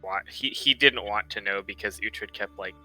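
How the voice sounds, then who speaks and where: Guy chatting at a game, medium pitch, really bright and smooth, dry mic. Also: moderate pace, no room sound, faint music behind, poor audio. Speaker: player in a roleplaying game. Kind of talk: casual chat.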